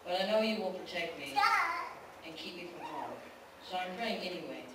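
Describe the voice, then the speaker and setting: A woman voicing a devout, calm young man who prays in a church puppet show and speaks in an earnest, steady way. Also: lowish voice, moderate pace, lightly acted. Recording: echoey hall from far off, noisy.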